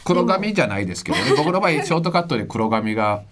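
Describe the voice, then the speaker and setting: Fast-talking Kansai man, swinging pitch. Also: big pitch swings, over a noisy crowd. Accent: Kansai Japanese. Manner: joking, exaggerated reactions. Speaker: radio show co-host. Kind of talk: comedic casual radio chat.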